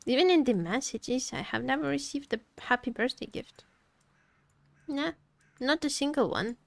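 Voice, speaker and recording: High-pitched, animated woman streaming a game. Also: normal speed, vocal fry, no room sound. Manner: playfully complaining, repeats phrases, expressive. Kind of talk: casual live gameplay commentary. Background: game music.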